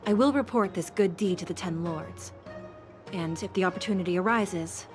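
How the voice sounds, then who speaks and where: A woman's voice: lowish voice, normal speed, soft background music, close to an everyday voice. Woman acting a solemn, formal fantasy judge. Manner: formal, grave. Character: solemn, formal female judge of an otherworldly order. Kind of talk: video game voice acting.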